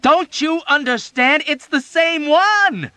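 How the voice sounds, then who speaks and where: A man voicing a frantic young soldier patient who screams in panic, his voice pushed very high. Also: lively intonation, slow, bright, forceful tone, no room sound, exaggerated voice.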